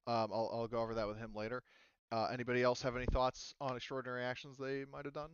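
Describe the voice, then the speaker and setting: A man chatting casually as a game master, explaining things in a halting, conversational way. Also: medium pitch, little pitch movement, smooth, no echo, lo-fi sound. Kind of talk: casual chat, running a tabletop game session.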